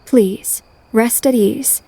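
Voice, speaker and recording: Woman acting a poised, fairly high-voiced young noblewoman. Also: extremely slow, gravelly, breathy edge, no room sound, exaggerated voice. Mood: calm resolve. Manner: formal, calm, resolute. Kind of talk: anime fan dub acting.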